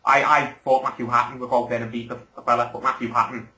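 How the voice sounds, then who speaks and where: Quick guy chatting on a podcast, bright voice, echoey room. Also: mid-range voice, flat delivery. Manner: opinionated, rambling, asks for agreement. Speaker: podcast host. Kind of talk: casual chat.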